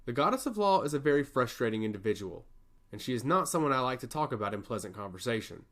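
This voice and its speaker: A man's voice: medium pitch, very animated, quick pace, a bit bright. A man voicing a passionate god of chaos who loves humanity, speaking in earnest, emphatic monologue in a fantasy story. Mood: frustrated. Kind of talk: fantasy storybook character dialogue.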